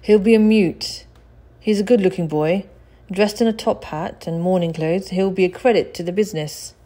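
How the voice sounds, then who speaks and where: Woman as a gloomy storybook undertaker man, thin, miserly, measured, matter-of-fact. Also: lowish voice, dark tone, pitched above the performer's own voice, close to an everyday voice.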